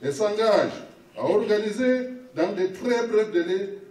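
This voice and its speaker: High-voiced Cameroonian man in an echoey hall. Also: animated, measured pace, darkish tone. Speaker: official reading out resolutions. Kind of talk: formal read-aloud public declaration. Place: municipal hall at a public meeting. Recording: echoey hall, distant microphone.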